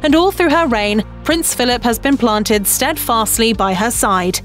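A woman with a fairly high voice narrates a scripted documentary segment in a brisk, even way. Soft music runs faintly beneath her voice-over.

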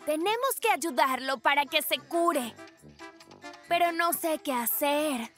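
A woman plays a gentle, nature-loving bear cub in a children's cartoon. The voice is high and bright, and the cub speaks earnestly, pressing each point with soft insistence. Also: expressive, slow pace, faint music behind, exaggerated voice. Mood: worried. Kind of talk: dubbed children's cartoon acting.

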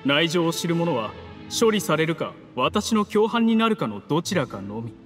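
男性の声優がゲームで演じる、礼儀正しく落ち着いた口調で脅す冷酷な策士の役人。. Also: male voice, on the high side, sing-song, a bit bright. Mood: smug, calm. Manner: courteous, measured, menacing formal speech. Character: coolly ruthless scheming male official, polite betrayer. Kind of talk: dramatic game cutscene acting in Japanese anime manner. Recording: long reverb, music underneath.

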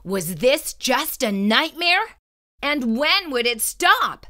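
Female children's storyteller, higher-pitched and very animated, dramatic suspenseful delivery. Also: slow pace. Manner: suspenseful, theatrical, emphatic. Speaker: storyteller reading a children's book aloud. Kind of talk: dramatic read-aloud narration.

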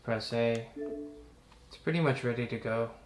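A young man hosting a casual, relaxed tutorial, chatting as he works through steps in a living room. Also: medium pitch, moderate pace, faint music behind. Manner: relaxed, chatty, talks while working. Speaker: tutorial host. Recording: roomy home recording with faint hum.

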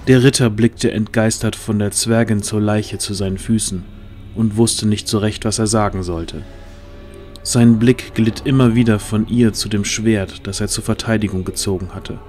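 A man narrating a scripted fantasy audio drama in a measured storytelling manner. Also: lowish voice, fairly even intonation, darkish tone, faint music behind.

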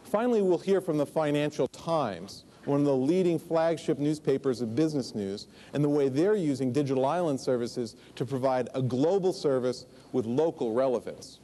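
A man's voice: mid-range voice, moderate pace, few pauses, warm tone. A man in his forties giving a conference keynote on a large stage, explaining in a conversational way with asides and fillers, on a lapel mic. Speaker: keynote speaker.

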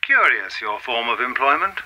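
Guy playing shadowy govt man in a radio play, formal n insinuating, very crisp forceful edge. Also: mid-range voice, sing-song, moderate pace, heavily acted, low-quality recording. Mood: knowing, condescending. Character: mysterious middle-aged official, coolly menacing caller.

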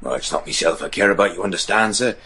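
Old rustic gamekeeper, rural english accent, raspy lowish, plain spoken, radio drama. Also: male voice, lively intonation, fast, sharp tone, heavily acted. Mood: grim, wary. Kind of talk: gothic radio drama acting.

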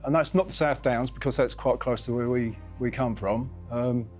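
A man's voice: mid-range voice, moderate pace, a little mellow, soft background music. A man in his fifties from Kent, a quiz contestant thinking aloud hesitantly with many ums in a TV studio. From Kent, England. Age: 50s. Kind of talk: thinking aloud under pressure. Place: TV studio indoors.

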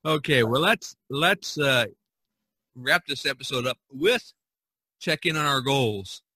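A man's voice: medium pitch, lively intonation, slow pace, bright, forceful tone, husky, dry recording. A man hosting a casual podcast, talking in a rambling, folksy way and repeating himself. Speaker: podcast host.

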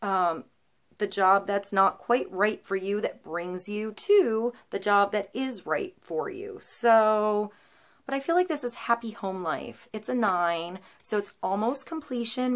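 A woman's voice: mid-range voice, a bit bright. A woman in her thirties doing an intuitive tarot reading to camera, flowing and reassuring, with frequent ums and likes. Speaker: tarot reader. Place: small indoor room, seated before a painted wooden backdrop.

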